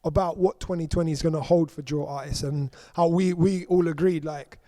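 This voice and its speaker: A man hosting an interview in a studio, conversational and quick to affirm his guest. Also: a little high, fairly even intonation, mellow, no echo. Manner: affirming, conversational, many "yeah, yeah". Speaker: interview host. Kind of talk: casual interview.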